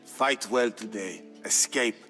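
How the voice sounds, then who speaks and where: A man acting as a solemn Byzantine Greek storyteller, narrating his family's war tale for a game in a grave, measured, theatrical way. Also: lowish voice, pushed and bright, soft background music. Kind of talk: dramatic scripted narration.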